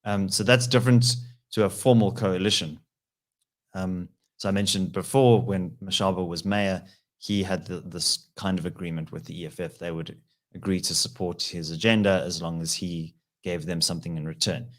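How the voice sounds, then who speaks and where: A man, a political analyst answering interview questions in a measured, explanatory way with frequent pauses. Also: deep voice, steady tone.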